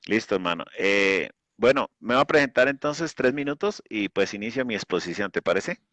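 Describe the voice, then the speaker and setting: A man with a Colombian accent introducing himself as a lay debater in a religious debate, unscripted, measured and conciliatory, repeating phrases. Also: fairly low pitch, expressive, a bit bright, no echo. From Colombia, Boyacá.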